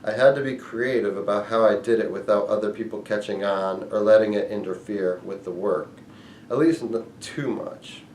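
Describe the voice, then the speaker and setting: A man with a fairly low voice reads aloud from a memoir. He sets out each phrase in a measured, deliberate way. The recording has a clearly echoey, roomy sound. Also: a little mellow, recorded from a distance, a little hiss or hum. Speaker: book reader and reviewer. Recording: echoey room.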